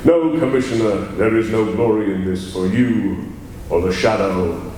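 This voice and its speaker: A man playing a mysterious male crime-fighter on a live stage, slow and commanding with a menacing laugh, in old-time radio style. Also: on the low side, a little mellow, slight background noise, lightly acted. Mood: solemn. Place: large hall, live stage. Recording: echoey hall from far off.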